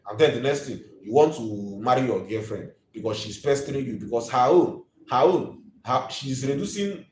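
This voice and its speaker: A man's voice: mid-range voice, darkish tone, a little hiss or hum. Nigerian man in his twenties, roomy sound. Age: 20s. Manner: emphatic, preachy, repeats his points. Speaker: live video host. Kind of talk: ranting live monologue.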